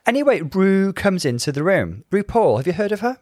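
A man's voice: high voice, sing-song, brisk, darkish tone, no echo. British man with a dry, close sound. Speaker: podcast co-host. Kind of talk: casual TV show recap commentary.

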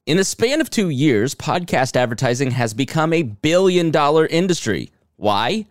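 A man's voice: a little high, big pitch swings, moderate pace, no echo, clean studio sound. A middle-aged man hosting a podcast, reading promos and announcements. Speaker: podcast host.

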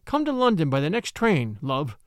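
A man's voice: high-pitched, big pitch swings, moderate pace, no room sound, studio quality, voice pushed up, exaggerated voice. A man reading aloud voices a fervent, pious spinster who pleads in a rising voice.

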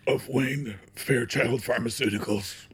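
A man playing a dying old company chairman and father in an audio drama, solemn and remorseful, with a husky, breathy voice and grave, formal speech. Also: medium pitch, steady tone, unhurried. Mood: solemn resolve. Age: older.

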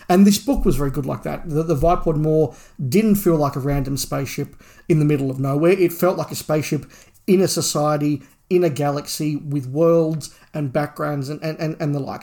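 A man's voice: a little high, brisk, vocal fry, a little mellow. A man co-hosting a podcast, discussing a book casually in an analytical, opinionated way with emphatic repetition. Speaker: podcast co-host.

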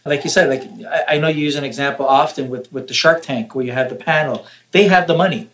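A man's voice: on the high side, quick pace, fairly bright tone. A man from Canada, a podcast guest, talking casually and repeating words as he explains.

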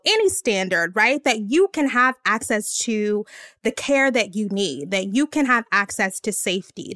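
A woman guest in an earnest conversation, speaking emphatically and lifting her pitch to stress her points. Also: a bit bright, no room sound, studio quality.

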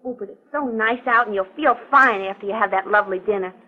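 A woman's voice: medium pitch, fast talker, close to an everyday voice. An actress playing a chatty, motherly waitress in a 1940s radio drama, coaxing and gushing. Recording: noisy, tinny 1940s radio recording.

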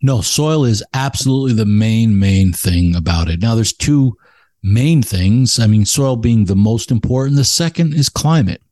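A man's voice: fairly low pitch, animated, normal speed, dark tone, no room sound, studio quality. A man speaking as an expert guest in a casual chat, emphatic and opinionated about his craft. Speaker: expert podcast guest, cigar blender.

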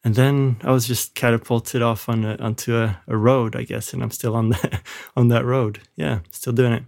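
A man's voice: medium pitch, little pitch movement, moderate pace, creaky, a little mellow. A man who grew up in Malaysia, a film composer interviewed from his home studio, answering casually and reflectively with frequent restarts.

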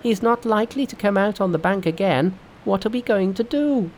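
High-pitched cocky monkey hero. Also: male voice, a little mellow, slight background noise. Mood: frustrated. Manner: assured, brisk, decisive. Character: cocky, quick-witted male monkey hero. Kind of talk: storybook narration with character voices.

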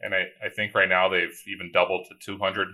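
A man's voice: low voice, little pitch movement, fairly quick, sharp tone. A man, a company founder telling his story in a casual, easygoing way, full of repeated words and "like". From Chicago, USA. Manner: easygoing, frequent word repeats and "like" fillers. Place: remote call from home.

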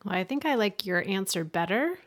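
A woman's voice: mid-range voice, expressive, a little fast. A woman host speaking in an everyday mid-range voice. She responds to her guest in an easy, conversational tone with a friendly, slightly apologetic touch. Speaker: interview host. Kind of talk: conversational interview.

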